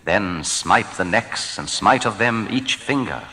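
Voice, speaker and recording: A man reads scripture in a fairly low but forceful, bright voice. His delivery is solemn, formal and deliberate, declaimed into a long reverb. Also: expressive. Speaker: narrator of scripture translation. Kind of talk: solemn scripted reading of archaic scripture translation.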